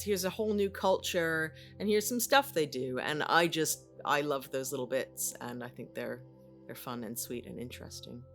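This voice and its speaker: A woman chatting casually as a voice actor on a podcast. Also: low-pitched.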